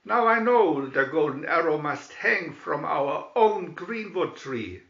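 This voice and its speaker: A man's voice: on the high side, expressive, slow pace, fairly bright tone, echoey room, dull audio, full character voice. A man voicing a jolly, bold outlaw hero in a storybook, hearty and declaiming. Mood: amused, bold.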